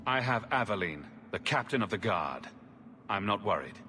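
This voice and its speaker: Here is a heroic champion in a video game. He is a steady, protective man with a low voice, calm and resolute. Long reverb and music surround him. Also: moderate pace. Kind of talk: dramatic video game cutscene acting.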